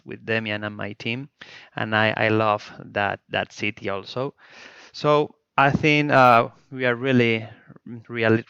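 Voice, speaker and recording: Spanish man with gravelly bright voice. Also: on the low side, no echo, muffled recording. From Spain. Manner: hesitant, many fillers and restarts in a second language. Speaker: guest. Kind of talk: casual interview chat.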